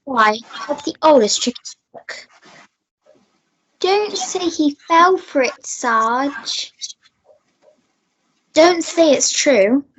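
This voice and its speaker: A woman's voice: high voice, animated, slow, pauses often, a little hiss or hum, poor audio. A woman playing an anxious, earnest young police constable in a radio melodrama, fretful and pleading. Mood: dismayed. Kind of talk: melodrama radio play acting.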